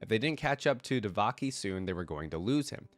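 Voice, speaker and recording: A man congested with a cold, reading stories aloud and reacting to them casually, thinking out loud to his viewers. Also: lowish voice, expressive, fairly quick, no room sound. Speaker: reactor reading game lore aloud and commenting. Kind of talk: casual reaction commentary mixed with story reading.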